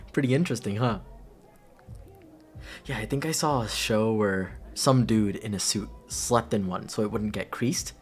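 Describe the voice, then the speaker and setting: A young man playing a caring best friend at a party in an ASMR roleplay, talking casually in a halting, rambling way. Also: lowish voice, soft background music, natural voice. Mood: relaxed, chatty. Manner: halting, rambling, breathy chuckles. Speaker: roleplay audio performer.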